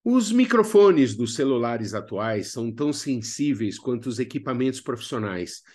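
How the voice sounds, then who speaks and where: A man's voice: mid-range voice, very animated, normal speed, a little mellow. A man with a Brazilian accent narrating a video lesson as a workshop instructor, didactic with conversational asides to the learner. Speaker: instructor of a radio drama workshop.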